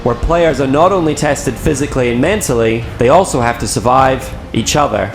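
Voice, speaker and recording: A man's voice: mid-range voice, a little fast, faint music behind. A man reading scripted narration for a reality show.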